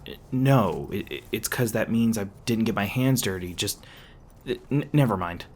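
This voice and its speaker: A man acts out an edgy monster hunter in an audio roleplay. His voice sits fairly low, and his talk is sarcastic and brash. Underneath he is protective and soft-hearted, stumbling over words when flustered. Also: animated, moderate pace, slight background noise, close to an everyday voice. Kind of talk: ASMR roleplay voice acting.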